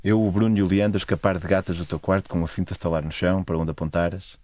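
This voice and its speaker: A man's voice: deep voice, fairly even intonation, very fast, darkish tone. A man with a European Portuguese accent narrating a scripted, literary first-person travel story. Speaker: narrator of own travel stories.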